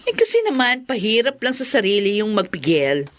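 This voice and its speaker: A woman's voice: medium pitch, wildly swooping pitch, normal speed. A woman playing a bold, frank, flirtatious seductress in a Filipino radio drama. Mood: seductive.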